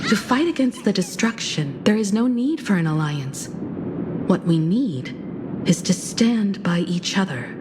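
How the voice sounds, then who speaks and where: A woman playing a composed, resolute space traveler in a video game, speaking in a measured, earnest, formal way. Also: mid-range voice, expressive, background noise, natural voice. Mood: earnest, persuasive. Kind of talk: scripted video game voice acting. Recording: music behind the voice.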